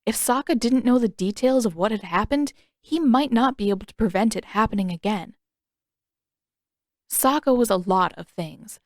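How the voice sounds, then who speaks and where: A woman's voice: medium pitch, dry recording, studio quality. A woman reading a story aloud as an audiobook narrator in scripted narration.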